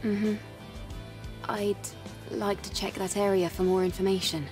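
A woman acting as a determined young android soldier in a video game. Her voice is mid-pitched, serious and composed, over clear background music. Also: level tone, measured pace, lightly acted. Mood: resolute. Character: resolute, composed young female android warrior. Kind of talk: cinematic video game dialogue.

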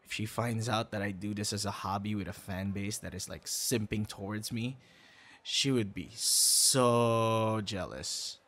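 A man's voice: lowish voice, unhurried. Man acting a hesitant young boyfriend in audio roleplay. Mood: bashful, playful. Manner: conversational, hesitant, with stammers and soft asides.

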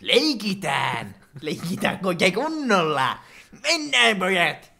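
A man voicing a cocky, reckless young honey badger in an amateur comedic cartoon dub, boastful and excitable. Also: high voice, gravelly, heavily acted. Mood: playful, boastful.

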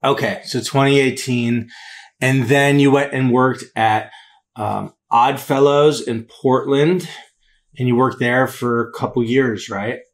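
A man co-hosting a podcast, introducing a guest in a quick, upbeat, casual way with fillers. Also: mid-range voice, fairly even intonation. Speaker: podcast co-host, former teacher. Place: home room with bookshelves.

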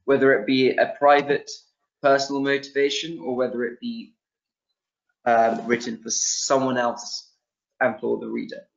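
A man's voice: medium pitch, flat delivery, measured pace, vocal fry. A young man presenting an online teaching webinar, talking loosely with many ums and restarts, from a small room over a video call. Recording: dull lo-fi video-call sound.

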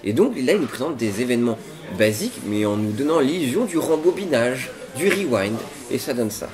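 A man's voice: medium pitch, expressive, fairly quick, over music. A man in his twenties with a French accent, casually co-hosting a web show with wry jokes. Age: 20s. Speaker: co-host of a web culture show. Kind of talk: casual presenting to camera. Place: diner booth with red seats.

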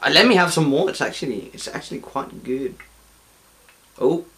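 A man's voice: a little high, normal speed, bright, forceful tone. A young man with a Danish accent, a native Danish speaker, chatting casually on camera about a beer he is tasting, rambling with lots of fillers and restarts, recorded indoors on a camera in front of him. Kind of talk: casual chat. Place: indoor room.

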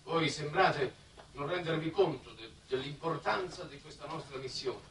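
A man's voice: a little high, normal speed, a bit bright, distant mic. An actor playing an earnest, insistent statesman in a 1960s Italian television drama, speaking in a formal, oratorical way. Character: earnest, insistent diplomatic statesman on a space mission. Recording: echoey, noisy old 1960s TV soundtrack.

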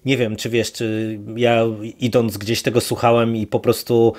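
A man co-hosting a podcast, casually discussing a review in a halting way as he searches for words. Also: mid-range voice, level tone, darkish tone. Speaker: podcast co-host. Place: virtual studio, remote recording.

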